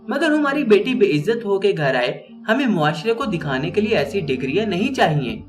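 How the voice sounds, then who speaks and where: A worried middle-aged mother, put on by a male narrator, comforts and questions her daughter. The voice is gentle but resolute, with a fairly high, lively pitch. Music runs underneath. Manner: soothing, then firm and resolute.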